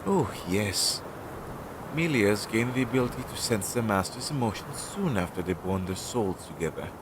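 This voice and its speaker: A young man playing an anxious, earnest and loyal crow familiar in a roleplay audio drama, hesitant and chatty as he thinks aloud, on a low-quality amateur recording. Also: expressive, moderate pace, noisy background, close to an everyday voice. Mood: reassured, earnest. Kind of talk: roleplay audio drama, intimate one-sided dialogue.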